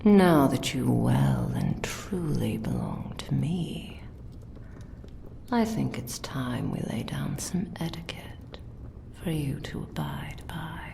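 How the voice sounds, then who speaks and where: A very deep, crackling female villain played by an actress speaks slowly and deliberately. She is a cruel demonic mage in an ASMR roleplay, taunting and possessive, murmuring with quiet menace over the one she owns. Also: sing-song, heavily acted. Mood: possessive, threatening. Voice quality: breathy whispering, gravelly.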